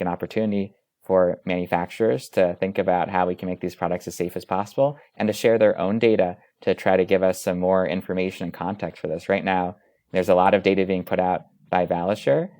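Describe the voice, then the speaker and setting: A man with a low-pitched voice talks as an expert guest in an interview. He explains things in a measured, conversational way, building long, winding sentences. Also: a little mellow. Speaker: dermatologist and researcher, interview guest.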